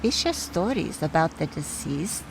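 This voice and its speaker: A woman's voice: sits low, big pitch swings, measured pace, noisy background. A woman playing a fond, opinionated, wry older aunt in mourning, in radio play dialogue. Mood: reminiscing, proud.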